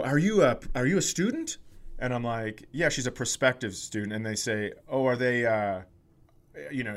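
A man's voice: medium pitch, fairly bright tone. A middle-aged man chatting casually as a comedy podcast host, telling self-deprecating stories and acting out the exchanges he quotes.

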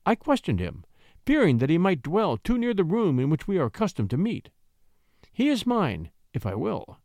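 A sly countess of a period storybook, acted by a male narrator, lifted in pitch and swooping widely. She coaxes and pleads with a breathy, cajoling manner, in a dry, close sound. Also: a little mellow, no room sound, full character voice. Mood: confident, scheming. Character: scheming countess, sly, coaxing, feigning innocent distress.

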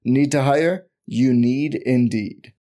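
Guy reading a sponsor ad, mellow warm tone, smooth and even. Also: medium pitch, slow. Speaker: ad announcer. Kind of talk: scripted ad read.

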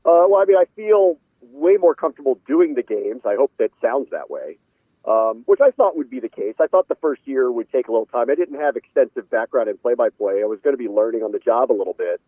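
A man's voice: mid-range voice, sing-song, a little fast, dry recording. A man speaking as a guest sports announcer in a casual radio interview, over a thin phone-like line.

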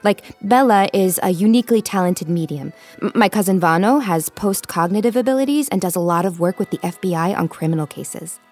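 An actress playing a young tarot-reading psychic who is confident and teasing. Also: female voice, medium pitch, lively intonation, a little fast, hardly any pauses, darkish tone, faint music behind, lightly acted. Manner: teasing, dry, self-assured. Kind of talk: comedic audio drama.

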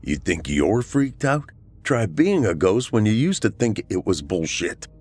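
A man's voice: on the low side, sing-song, measured pace, darkish tone, dry recording, pitched above the performer's own voice. A man voicing the wistful, frustrated ghost of a middle-aged man, plaintive, in an audiobook. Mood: wry.

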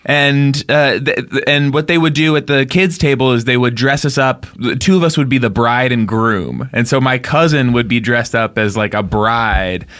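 A man on a comedy podcast, telling a story in a deadpan way with halting restarts. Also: medium pitch. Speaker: podcast co-host. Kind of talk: improvised comedy podcast banter.

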